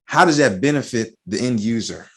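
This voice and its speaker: A man's voice: medium pitch, animated, brisk, a bit bright, breathy edge. A man hosting a podcast interview, asking follow-up questions and often chiming in with short affirmations. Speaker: podcast host. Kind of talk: interviewing a guest.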